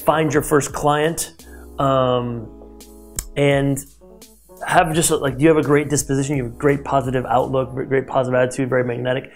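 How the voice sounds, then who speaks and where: A man in his thirties hosts an interview from a glass-walled office, speaking at a middle pitch. He sounds energetic and motivational, talking into a lapel mic. Faint music plays underneath. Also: normal speed. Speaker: host.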